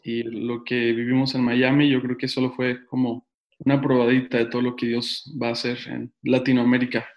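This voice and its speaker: A man's voice: mid-range voice, flat delivery, moderate pace, lo-fi sound. A man with a Mexican accent, chatting casually as an interview guest. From Mexico City, Mexico. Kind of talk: casual chat. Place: at home.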